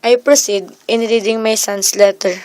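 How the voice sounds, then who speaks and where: Woman playing mourning mother, resolute, radio drama monologue, higher pitch, dry recording. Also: fairly even intonation, normal speed, darkish tone, no room sound, close to an everyday voice. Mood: sorrowful, resolute. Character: bereaved mother mourning her son, resolute. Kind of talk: scripted first-person radio drama monologue.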